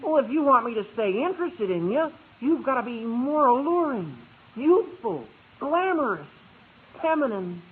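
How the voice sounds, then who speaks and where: A man's voice: very high-pitched, sing-song, moderate pace, husky, heavily acted. An actor voicing a gawky, eager teenage boy in a 1950s radio sitcom. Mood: bewildered, earnest. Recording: narrow, tinny old radio recording.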